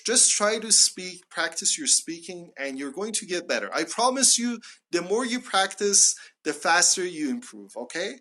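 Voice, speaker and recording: A man's voice: sits high, pushed and bright. A man, teaching phrases on a live stream from a phone at home, chatty with many fillers. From Canada. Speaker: English teacher. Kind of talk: live-streamed English lesson. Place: small room indoors at home. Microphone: phone at arm's length.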